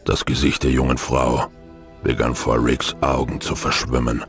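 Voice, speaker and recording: An actor playing a solemn, formal guardian in a science fiction audio drama, speaking in a grave, measured way. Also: male voice, very deep voice, level tone, faint music behind. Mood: grave narration.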